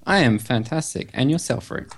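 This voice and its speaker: A man's voice: mid-range voice, expressive, normal speed, slightly rough. Australian man chatting as a podcast guest. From Perth, Western Australia. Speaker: podcast guest, website developer. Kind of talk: casual interview chat.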